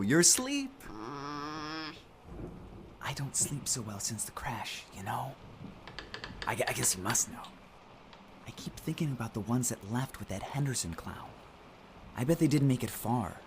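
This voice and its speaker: Give the part of a sensitive, anxious survivor in an audio drama. He rambles slowly and plaintively, with pitch that swings widely, over a faint noisy ambience.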